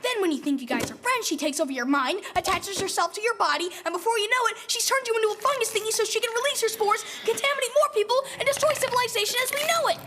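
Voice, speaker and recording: An actor voicing a high-strung cartoon child, explaining a menace with dramatic urgency. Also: animated, quick pace, hardly any pauses, pushed and bright, slight background noise, exaggerated voice. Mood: urgent alarm. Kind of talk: animated comedy voice acting.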